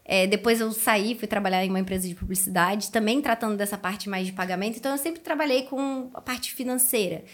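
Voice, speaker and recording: A woman in her thirties with a Carioca Brazilian accent, telling her story casually as an interview guest, chatty and colloquial, quick to laugh. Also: medium pitch. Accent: Carioca Brazilian Portuguese. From Rio de Janeiro, Brazil. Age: 30s. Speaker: podcast guest, educator and speaker.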